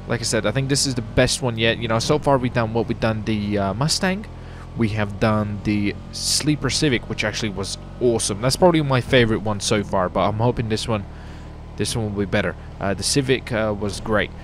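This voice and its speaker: A man commentating his roleplay gameplay, excitable and hyped, his voice jumping when something surprises him. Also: fairly low pitch, brisk, slight background noise. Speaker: gameplay streamer.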